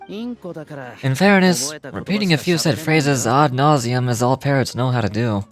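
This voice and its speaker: A man's voice: medium pitch, moderate pace, natural voice. Guy voicing sarcastic fed up warrior dude in a game, dry, music going behind. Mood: mocking. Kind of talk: video game voice acting.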